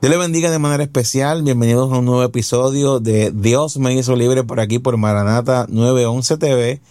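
A man's voice: medium pitch, steady tone, a little fast, darkish tone, no echo, studio quality. Devout man hosting a testimony show. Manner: devout, effusive interviewer. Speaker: host.

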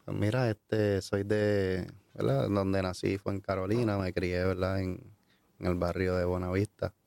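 A Puerto Rican man telling his life story as an interview guest, halting with many fillers and restarts. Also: low-pitched, flat delivery, creaky, darkish tone, no room sound. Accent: Puerto Rican Spanish. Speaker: guest giving a testimony. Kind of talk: hesitant conversational storytelling.

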